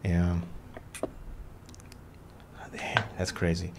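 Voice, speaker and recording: A man in his twenties from Indonesia, hosting a casual live video chat with strangers in a small room, relaxed and joking. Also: drawn-out words, gravelly, a little hiss or hum. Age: 20s. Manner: relaxed, joking, low-key, trails off quietly. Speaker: livestream host. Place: small room with shelves and gaming chair.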